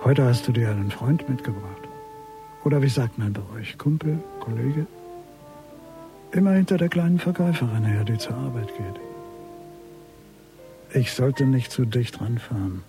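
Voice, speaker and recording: A man's voice: mid-range voice, normal speed, pauses often, over music, lightly acted. A man playing a watchful, mocking pursuer musing to himself in a radio crime drama.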